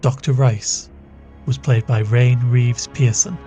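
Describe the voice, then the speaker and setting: A man's voice: mid-range voice, fairly even intonation, slow pace, over music. A man reading scripted end credits as the announcer of an audio drama podcast. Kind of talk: scripted narration.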